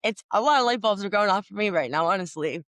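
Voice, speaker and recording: A woman's voice: medium pitch, expressive, quick pace, fairly bright tone, no room sound, studio quality. A woman hosting a podcast, talking in a hesitant, searching way with many fillers and restarts. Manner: hesitant, lots of "like" and restarts, laughs easily. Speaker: podcast host. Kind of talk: conversational interview.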